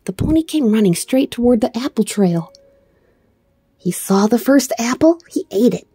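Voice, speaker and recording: Mellow, expressive woman reading a storybook. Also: on the high side, moderate pace, husky, no room sound. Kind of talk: expressive children's read-aloud narration.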